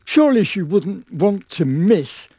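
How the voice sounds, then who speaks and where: A woman's voice: medium pitch, sing-song, a little slow, mellow, slightly rough, no echo. A woman playing an imperious, anxious noble mother in a radio drama, commanding and theatrical. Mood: impatient, alarmed.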